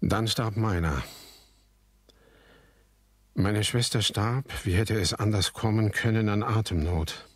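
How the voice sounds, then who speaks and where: An actor in a German radio drama playing a melancholy son who narrates his family's story in a breathy voice. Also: on the low side, takes it slowly, long pauses, no room sound.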